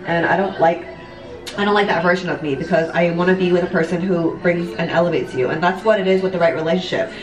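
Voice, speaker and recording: An echoey bathroom recording of a woman in her twenties, picked up by a camera set back from her. She talks in a slightly low voice, casual and candid, in a rambling confessional vlog style. Music plays behind her. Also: steady tone, quick pace. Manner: candid, rambling, frequent "like" and "you know", some swearing. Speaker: vlogger and online business coach. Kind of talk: casual confessional chat to viewers while doing makeup. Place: bathroom at home. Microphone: camera a step or two away. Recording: echoey room, distant camera mic.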